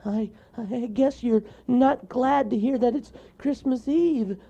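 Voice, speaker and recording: A man's voice: sits high, unhurried, gravelly, warm tone, husky, muffled recording, voice pushed up, heavily acted. A man voicing a nervous, trembling little male mole in a storybook, stammering as he talks. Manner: stammering, jittery.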